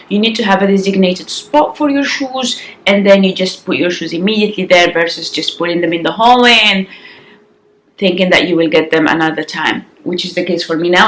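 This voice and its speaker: Woman, 30s, slightly raspy, chatty vlog, um-filled, camera mic, roomy echo. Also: lowish voice, a little hiss or hum. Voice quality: raspy in the morning. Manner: chatty, thinks aloud with many ums and restarts. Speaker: vlogger. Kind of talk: casual vlog chat to camera. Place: sunlit living room at home, indoors. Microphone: compact camera on-board mic.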